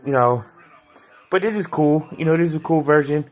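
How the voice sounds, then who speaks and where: Chatty man reviewing a toy, fairly high voice. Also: a little fast, darkish tone. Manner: chatty, loose, with frequent restarts and fillers. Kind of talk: casual video review.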